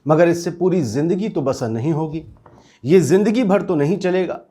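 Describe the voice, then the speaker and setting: A man's voice: on the high side, normal speed, dark tone, lightly acted. A man acting as a reasonable office worker in a satirical drama, questioning and challenging in an earnest, sardonic way. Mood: reasoning. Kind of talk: scripted satirical dialogue.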